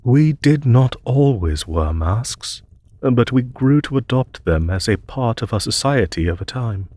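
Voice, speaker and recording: Man voicing a slow, husky, courteous demon emperor. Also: medium pitch, animated. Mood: courteous, gently amused. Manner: formal, measured, gentle storytelling. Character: ancient, courteous masked demon emperor, wistful storyteller. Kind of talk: scripted audio roleplay monologue.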